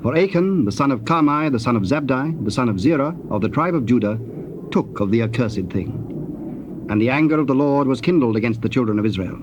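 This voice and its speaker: A man's voice: medium pitch, animated, a little fast. A man narrating dramatized scripture in a solemn, formal storytelling manner. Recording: dull, noisy old cassette tape.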